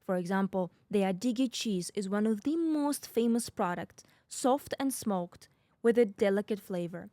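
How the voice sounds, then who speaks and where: A woman's voice: medium pitch, animated, moderate pace, mellow, dry recording. A woman from the North Caucasus whose first language is a North Caucasian language, hosting a podcast as an explanatory monologue with wry, ironic asides. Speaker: podcast host.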